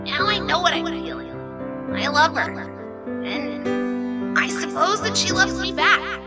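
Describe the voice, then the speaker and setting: High-pitched brash young pegasus pony. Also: female voice, animated, takes it slowly, full character voice. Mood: tender. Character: brash young female pegasus pony, cocky but lovestruck. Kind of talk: fan fiction dramatic reading. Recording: music behind the voice.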